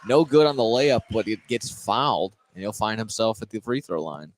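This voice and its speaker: Low-voiced man calling live basketball. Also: lively intonation, moderate pace. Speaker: play-by-play announcer. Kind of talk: live high school basketball radio commentary. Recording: noisy live gym broadcast.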